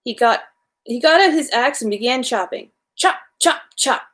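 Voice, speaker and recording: A woman's voice: on the high side, expressive, moderate pace, a bit bright. A woman hosting a children's story time, reading a storybook aloud in an informal way with small stumbles.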